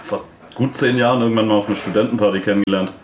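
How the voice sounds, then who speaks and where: A man from the band answers quickly and matter-of-factly, his voice sitting low. The room is echoey, with a faint hiss behind him. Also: steady tone, a little mellow. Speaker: band member. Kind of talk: casual interview talk.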